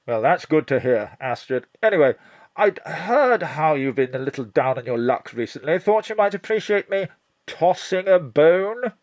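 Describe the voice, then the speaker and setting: A man's voice: on the high side, very animated, normal speed, a little mellow, no room sound. An actor playing a suave, flirtatious man with a smarmy, suggestive manner in a comic noir audio drama. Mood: smooth, flirtatious. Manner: smarmy, innuendo-laden.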